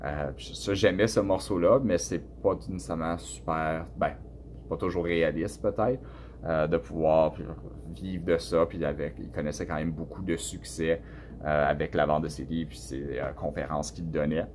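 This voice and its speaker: Deep-voiced Canadian French man. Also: fairly even intonation, normal speed, darkish tone, slight background noise. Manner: hesitant, many filler sounds and restarts. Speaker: author answering students' questions. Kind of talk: casual spoken answers to a class.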